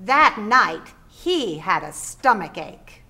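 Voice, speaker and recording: A woman reads a children's story aloud as a reader's theater performer. She goes very slowly, with a bright, forceful voice and expressive swings in pitch. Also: husky. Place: theater stage.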